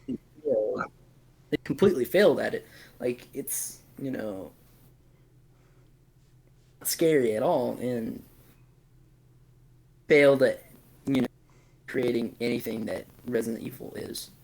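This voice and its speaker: A man talks casually on a podcast with a lot of vocal fry in a mid-pitched voice. He is blunt and opinionated, halting and doubling back on his phrases as he goes. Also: a little mellow, rough recording. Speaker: podcast host discussing films and games.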